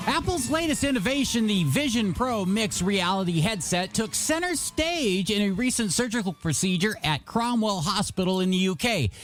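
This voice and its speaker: A man delivers upbeat radio DJ patter in a high, swooping voice. His announcing is showy and playful, with the pitch moving all over. Music runs clearly underneath him. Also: expressive, normal speed, few pauses, fairly bright tone. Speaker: radio DJ host.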